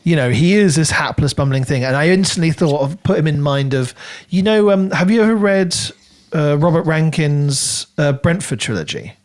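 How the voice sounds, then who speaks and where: A man co-hosting a podcast, talking through a book casually in an animated, rambling way as he thinks aloud. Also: on the high side, moderate pace. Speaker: podcast co-host.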